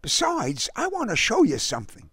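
Guy doing a high bold kid voice for a story, excitable, kinda bossy. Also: normal speed, breathy edge, no room sound, studio quality, heavily acted. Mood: casual. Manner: urgent, exclamatory.

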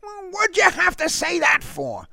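A man's voice: extremely high pitch, lively intonation, unhurried, creaky, pushed and bright, no room sound, clean studio sound, pitched above the performer's own voice, heavily acted. A man voicing a curious, unworried young boy in a story, matter-of-fact and a little dismissive.

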